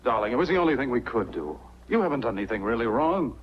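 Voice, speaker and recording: An actor playing a calculating, persuasive accomplice in a 1940s film noir style. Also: male voice, medium pitch, very animated, quick pace, slight background noise, natural voice. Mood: urgent persuading. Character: calculating male accomplice, persuasive and self-protective. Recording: muffled 1940s film soundtrack.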